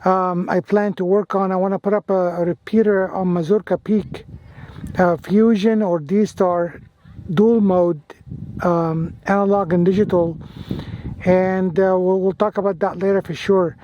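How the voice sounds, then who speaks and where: A man's voice: sits high, fairly even intonation, unhurried, mellow, dull audio. A man chatting casually as an amateur radio vlogger, effusive and given to repeating himself. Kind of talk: casual radio chat and hands-on commentary.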